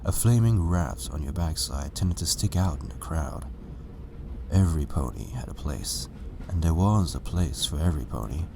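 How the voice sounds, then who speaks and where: A man's voice: extremely low pitch, expressive, normal speed, creaky, noisy background. A man narrating a story as a scripted reading. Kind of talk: scripted fan fiction narration.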